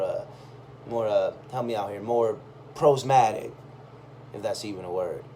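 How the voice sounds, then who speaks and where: A man reading a first-person story aloud in a conversational, expressive way. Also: on the high side. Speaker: reader of a personal chronicle. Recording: clearly audible background noise.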